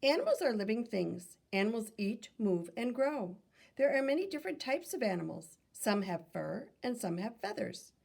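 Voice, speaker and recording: A woman reading aloud to children like a teacher, in an instructive manner, pausing to pose questions to young listeners. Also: a little high, big pitch swings, gravelly, darkish tone, husky.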